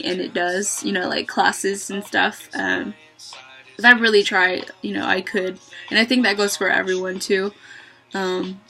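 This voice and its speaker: A young woman talking to her webcam in a small bedroom, thinking aloud with many pauses and ums. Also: bright, forceful tone, poor audio. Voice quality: low-voiced for a woman, by her own account. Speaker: vlogger. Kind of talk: reflective casual monologue. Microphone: webcam at close range.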